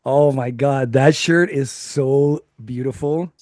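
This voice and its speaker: A man's voice: mid-range voice, no echo, studio quality. A man hosting a podcast interview, gushing and enthusiastic. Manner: excitable, gushing, fast. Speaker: podcast host.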